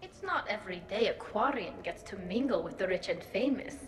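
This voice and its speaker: A woman acting as a playful young alien companion in a game. Her voice swings lively with a teasing lilt and has a roomy echo around it. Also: mid-range voice, fairly quick, natural voice. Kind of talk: video game cutscene dialogue.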